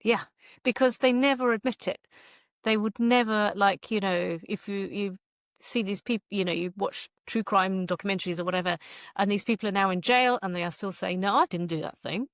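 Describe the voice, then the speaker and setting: A woman's voice: medium pitch, normal speed, no echo, clean studio sound. A woman speaking as a guest author in an interview, answering in a casual, chatty, conversational way.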